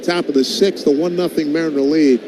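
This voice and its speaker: A man's voice: on the high side, fairly even intonation, a little fast. A man doing live radio baseball play-by-play as the announcer, in colloquial, clipped phrases. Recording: loud ballpark background noise. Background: outdoor stadium ambience.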